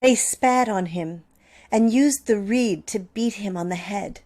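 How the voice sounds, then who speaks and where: A woman narrating a Bible passage in a church dramatic reading, in an even, deliberate way. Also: mid-range voice. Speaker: scripture reader.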